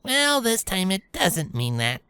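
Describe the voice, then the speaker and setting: A woman voicing a tomboyish, protective grown pegasus pony, speaking in a calm, low, reassuring way in an acted audio drama. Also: a little slow, clear, resonant tone, no room sound. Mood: awkward, careful.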